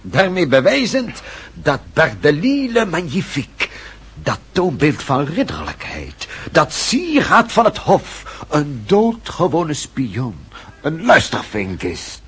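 An actor playing an indignant, hot-tempered count in a period radio drama, haughty and blustering. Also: male voice, on the high side, huge pitch swings, slow pace, fairly bright tone, breathy edge, heavily acted. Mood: scornful.

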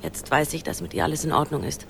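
Chatty woman, slightly low voice. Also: lively intonation, fast, vocal fry, background noise. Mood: chatty, hurried. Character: busy, chatty young woman in a long-distance romance. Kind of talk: radio play acting.

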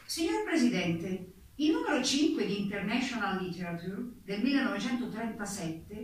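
A woman's voice: medium pitch, a bit bright, slight background noise. Woman acting formal, probing committee interrogator, very echoey. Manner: formal, pressing, precise. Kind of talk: theatrical interrogation. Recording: very echoey room, distant mic.

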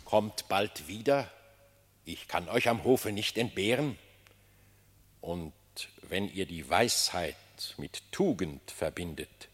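A man's voice: on the low side, a bit bright. Solemn animal king in a reverberant hall. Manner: stately, formal, declamatory. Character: solemn, gracious male animal king pronouncing judgment. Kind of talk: classic German radio play verse acting.

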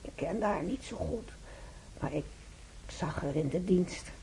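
A woman's voice: fairly low pitch, animated, dark tone, slight background noise. An actress playing a tired but hopeful female hospital patient in a radio play, weary and plain-spoken. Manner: slow, weary.

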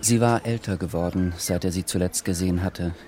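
An actor as the composed narrator of a literary radio drama, measured and precise. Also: male voice, deep voice, steady tone, a little mellow, close to an everyday voice. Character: composed, observant male storyteller.

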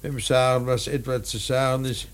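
An actor playing a grave, composed middle-aged father in grief, speaking in a measured, fatherly way in a German radio play. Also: mid-range voice, flat delivery, slight background noise, close to an everyday voice. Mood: kindly, composed.

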